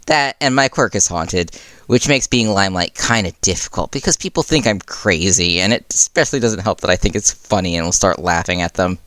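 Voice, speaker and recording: A man's voice: low-pitched, brisk, a bit bright, dry recording. A man voicing a breezy, mischievous underground superhero in an audiobook, with a casual, cheeky, flippant way of talking. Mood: breezy, defensive.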